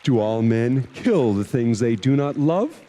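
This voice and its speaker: An actor playing a stern older priest on a secret mission, speaking in a grave, measured, guarded way in a video game. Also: male voice, medium pitch, lively intonation, mellow, natural voice.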